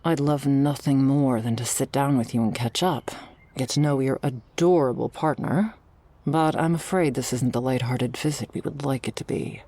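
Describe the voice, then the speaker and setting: An orca girl from an audio roleplay, voiced by a woman, earnest and world-weary. The voice is notably husky and breathy. She talks gently, in a measured way. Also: very deep voice. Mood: warm, nostalgic. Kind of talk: ASMR audio roleplay acting.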